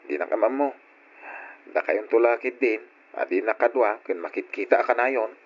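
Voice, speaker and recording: An actor playing a patient, burdened grown son who cares for his ailing parents, speaking gently and earnestly in an old-time radio drama style. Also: lowish voice, normal speed, natural voice. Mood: earnest, resigned. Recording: dull lo-fi radio drama recording.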